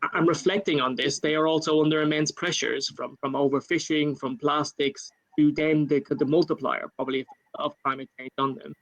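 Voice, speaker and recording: A man with an Irish accent answering a panel question for his government, measured, with many fillers and restarts, over a video call. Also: fairly high pitch, fairly even intonation, fairly bright tone, low-quality recording. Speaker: panel speaker for a national government.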